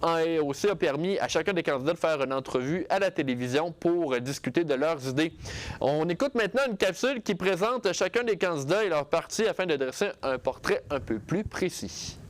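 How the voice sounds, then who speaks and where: A man hosting a regional news review, reading a script at a measured pace with the odd filler. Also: fairly high pitch, animated. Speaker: news host.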